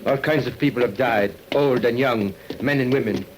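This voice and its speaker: A man's voice: mid-range voice, normal speed, lightly acted. A man playing a troubled, helpless character with an earnest, dramatic delivery in a mid-century radio mystery. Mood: exasperated. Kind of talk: 1950s radio drama acting. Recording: hissy old radio recording.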